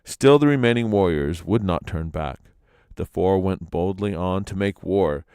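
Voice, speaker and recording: A man narrating legends as a storyteller, in a dramatic, expressive reading. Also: low-pitched, measured pace, smooth, no room sound, studio quality.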